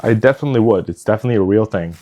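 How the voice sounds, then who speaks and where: A young man co-hosting a podcast, dry and deadpan, who lays out his arguments step by step. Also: on the low side, racing. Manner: dry, deadpan, explains points methodically. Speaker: podcast co-host. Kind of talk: casual podcast discussion.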